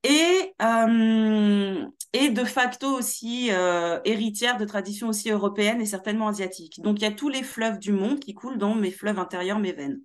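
A young woman from Paris with Martinican roots, speaking as a guest in an animated interview over a video call. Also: mid-range voice, normal speed. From Martinique heritage, born in Paris. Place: small room at home.

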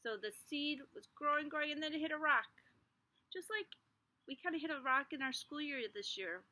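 A middle-aged woman teacher reading a picture book aloud to young children outdoors, expressive, with small asides to the class. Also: high-pitched, brisk, no echo. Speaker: kindergarten teacher. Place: outdoors in a backyard under trees. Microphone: device camera at arm's length.

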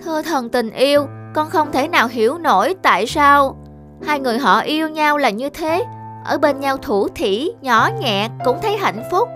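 A woman's voice: high voice, animated, normal speed, over music, pitched above the performer's own voice. A woman voicing a small child cupid in a cartoon, curious, earnest and polite like a pupil. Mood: puzzled, upset. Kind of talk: cartoon dubbing.

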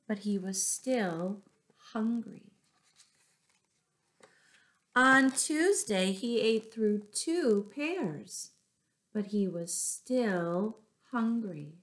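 A middle-aged woman reading a picture book aloud to young children, with deliberate, expressive phrasing and little asides to her listeners. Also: mid-range voice. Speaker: children's storyteller, teacher. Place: small furnished room at home.

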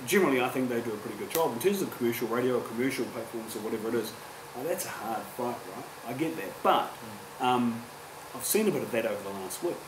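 A New Zealand man in his forties speaking on a public panel, earnest and halting, with frequent restarts. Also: mid-range voice, expressive. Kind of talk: panel discussion. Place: university lecture room. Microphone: table microphone, distant. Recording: echoey room with background hum.

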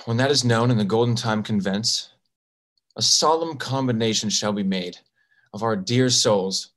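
A man's voice: on the low side, normal speed, a bit bright, natural voice. A young man playing a courteous duke in a Shakespeare comedy read. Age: young adult. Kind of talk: live table read of Shakespearean verse drama. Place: bedroom, video call.

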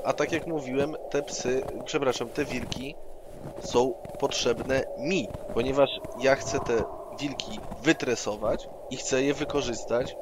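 A man's voice: mid-range voice, fairly even intonation, a little slow. A man improvising in-character dialogue as a player in an online tabletop role-playing session. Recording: online session with loud background noise.

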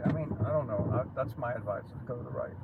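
A man's voice: mid-range voice, fast talker. A man riding as a passenger, chatting in a laid-back, dryly teasing way inside a car. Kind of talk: casual chat in a car. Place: inside a car at night on a rough road. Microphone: 360 camera inside the car, away from him. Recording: tinny, distant, loud engine noise.

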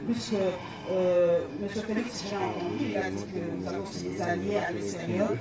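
A woman's voice: lowish voice, lively intonation, normal speed, vocal fry. Probing woman moderator, crowd behind. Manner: probing, presses for concrete answers. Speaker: debate moderator. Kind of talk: moderating a debate. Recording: echoey, distant phone recording with crowd.

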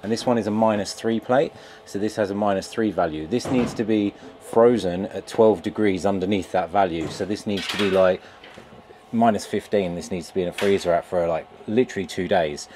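A deep, low-pitched British man in his thirties talks through his setup in a loose, rambling way, full of ums and restarts. He is recorded on a lapel mic inside a metal food van. A faint background ambience sits under his voice. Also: fairly quick, noisy background. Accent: British English. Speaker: pizza van owner. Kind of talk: casual vlog walkthrough. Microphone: lapel mic, camera on a shelf.

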